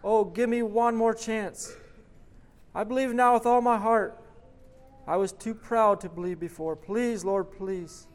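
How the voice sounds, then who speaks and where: A man voicing a desperate condemned man who sobs and begs for mercy, his voice raised high and loud. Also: steady tone, a little slow. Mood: desperate pleading. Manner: frantic begging, sobbing.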